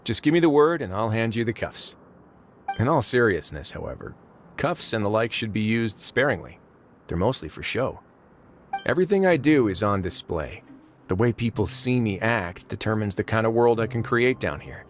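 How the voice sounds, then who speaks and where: An actor playing a calm, shrewd male duke in a video game, speaking in a measured, deliberate way with dry humor. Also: lowish voice, slight background noise.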